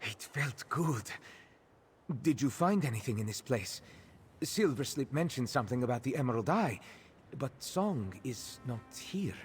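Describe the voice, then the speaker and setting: An actor voicing a gentle, earnest, protective male cat-folk creature in a video game, with a measured manner. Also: medium pitch, lively intonation, a little hiss or hum, natural voice. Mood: earnest, concerned. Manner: speaks of self in third person, measured. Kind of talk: video game character dialogue. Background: game music.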